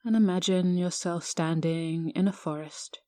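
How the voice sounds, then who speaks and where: A woman guiding a meditation in a hushed, soothing, measured manner with long pauses. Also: on the low side, fairly even intonation, no echo, studio quality. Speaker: yoga teacher guiding a meditation. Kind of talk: guided meditation narration.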